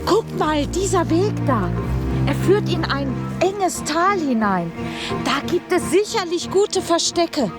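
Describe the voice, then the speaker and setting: A woman's voice: very high voice, very animated, takes it slowly, full character voice. A woman voicing a small, uneasy but hopeful companion in a Swiss German children's radio play. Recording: loud music behind the voice.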